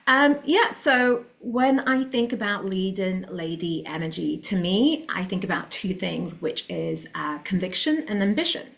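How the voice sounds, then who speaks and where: A woman's voice: medium pitch, sing-song, a little slow, creaky, hardly any pauses. Earnest woman with a London accent. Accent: London English. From London, England. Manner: earnest and motivational, with a few laughs. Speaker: podcast guest. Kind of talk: casual interview chat.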